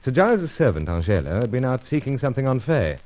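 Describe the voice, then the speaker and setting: An actor playing a gallant, stubborn British secret agent in an old radio adventure serial, with clipped gentlemanly speech and a British accent. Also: male voice, mid-range voice, big pitch swings, quick pace, lightly acted. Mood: grim, dramatic. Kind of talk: old-time radio adventure serial acting and first-person narration. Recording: dull, muffled old radio recording.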